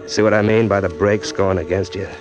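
An actor plays a bitter, hard-boiled man telling his own downfall in an old radio play. His voice sits low and the narration is weary and clipped. Music plays clearly behind him. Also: fairly even intonation, fairly quick, lightly acted, poor audio. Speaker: narrator and lead, a man arrested for murder. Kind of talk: 1940s radio acting.

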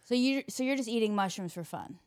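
A woman in her thirties from New York with a New York accent, joking quickly as a podcast guest. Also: a little high. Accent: New York, Italian-tinged. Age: 30s. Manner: animated, quick teasing, laughs often. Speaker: guest comedian. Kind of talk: casual podcast banter.

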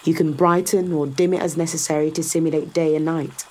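A woman's voice: low voice, steady tone, a little fast, a little mellow, slight background noise, close to an everyday voice. An actress playing a confident, sardonic woman in a science fiction audio drama, explaining things to a skeptical visitor with assured, dryly teasing delivery. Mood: assured, explanatory.

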